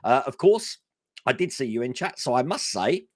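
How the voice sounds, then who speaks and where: A man hosting a casual live stream, his voice hoarse and worn, chatting enthusiastically with lots of fillers. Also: mid-range voice, very animated, normal speed, slight background noise. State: hoarse, voice going.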